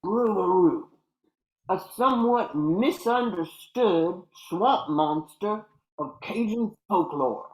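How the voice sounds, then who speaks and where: An older woman reads a scripted character introduction at a slow pace. Her voice is a little low, and the room adds clear echo. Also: darkish tone. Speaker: amateur cast member.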